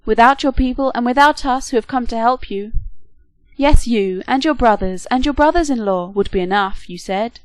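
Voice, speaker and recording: A woman's voice: fairly high pitch, normal speed, natural voice. A woman reading the part of a proud male warrior prince who speaks with reproach in a declamatory way, in a storybook reading. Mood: scolding, proud.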